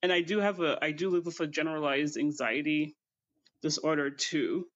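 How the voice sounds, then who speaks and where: A woman from Canada with a deep voice and a stutter, answering interview questions thoughtfully. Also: highs cut off. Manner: thoughtful, measured. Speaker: podcast guest.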